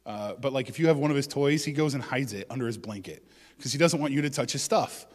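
A male pastor giving a conversational sermon, with joking asides and loud bursts of emphasis. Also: mid-range voice, quick pace, big-room sound.

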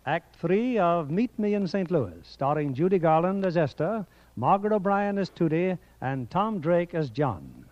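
A man's voice: high voice, lively intonation, normal speed. A man hosting and narrating a radio drama in a formal, theatrical 1940s announcing style. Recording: dull vintage radio recording.

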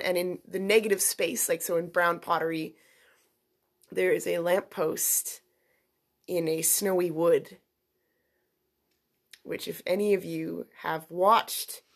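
Chatty woman with a stuffy cold. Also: fairly low pitch. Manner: chatty, storytelling, self-teasing. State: congested with a cold. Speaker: podcast co-host. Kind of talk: casual chat.

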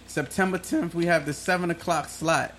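A man's voice: a little high, steady tone, moderate pace, fairly bright tone. A man who acts in films, a podcast guest, joking around with crude banter and laughing often. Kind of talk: casual chat. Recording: noisy podcast recording.

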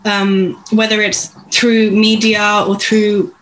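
A young woman in her twenties, an illustrator being interviewed, who answers thoughtfully and lists her ideas with small pauses. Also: medium pitch, little pitch movement, rough recording. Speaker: illustrator, podcast guest.

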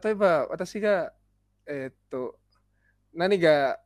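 Halting man mixing English and Japanese. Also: on the high side, animated, no echo, studio quality. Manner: halting, mixes English and Japanese, many fillers. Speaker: guest. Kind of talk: casual chat.

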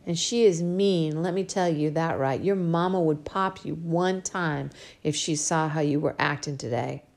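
A woman's voice: deep voice, unhurried, gravelly, darkish tone. A woman reading a loving but stern middle-aged father in an audiobook, scolding then tender, with folksy slang. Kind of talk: audiobook character voice.

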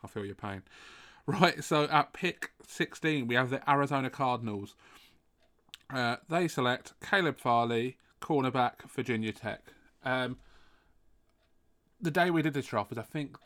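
A man's voice: medium pitch, animated, a little slow, long pauses, a bit bright. A man hosting a podcast mock draft, chatty and a bit sniffly from hay fever. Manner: chatty, apologetic asides. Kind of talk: casual chat.

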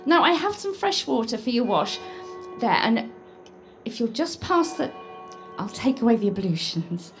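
High-pitched, animated maidservant. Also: female voice, unhurried, music in the background. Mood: brisk, dutiful. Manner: deferential, bustling, exclaiming. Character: good-humoured, bustling, deferential maidservant, no longer young. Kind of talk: audio drama dialogue.

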